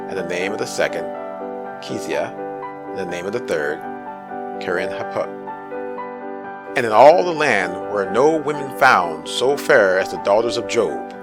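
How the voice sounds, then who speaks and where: A man with a fairly low voice narrates scripture as solemn drama. His phrasing is deliberate, in the way of a storyteller. Music plays prominently beneath him.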